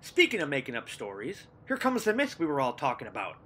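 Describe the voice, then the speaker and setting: A man's voice: fairly high pitch, huge pitch swings, fast, noisy background. A man playing a jocular, needling dockworker husband in a radio play. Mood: joking. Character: joking, impatient dockworker husband, a wisecracker.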